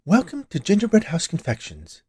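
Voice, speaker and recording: A man's voice: high voice, wildly swooping pitch, moderate pace, darkish tone, slightly rough, pitched above the performer's own voice, exaggerated voice. A man reading a story, voicing a cheerful, chatty woman who keeps a confectionery shop and naively argues. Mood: cheerful, eager. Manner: annoyingly cheerful, rattling off lists.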